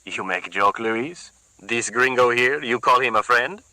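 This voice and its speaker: A man voicing a menacing Puerto Rican gang leader who talks slowly and quietly in broken English with a Puerto Rican Spanish accent. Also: dry recording, rough recording. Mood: sarcastic. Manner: slow, low, murmuring, broken English.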